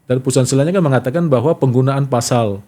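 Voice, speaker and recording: A man's voice: medium pitch, fast talker, mellow. A middle-aged man, recounting anecdotes as an interview guest and acting out quoted exchanges in casual conversation. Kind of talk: casual talk show interview, telling anecdotes.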